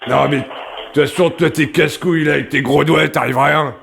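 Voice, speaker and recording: A man puts on an earnest building-site worker on a prank call. His voice is very gravelly and sits a little high, and he rambles hesitantly and pesters. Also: steady tone, brisk, music in the background. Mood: irritated. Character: earnest rambling male construction worker starting a bar rock band. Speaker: prank caller. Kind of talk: improvised prank phone call.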